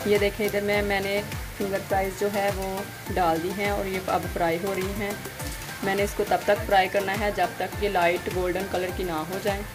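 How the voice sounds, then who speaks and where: A woman's voice: medium pitch, little pitch movement, normal speed, low-quality recording. A woman gives a plain, instructional step-by-step recipe voice-over as a home cooking host. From Pakistan. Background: music behind the voice.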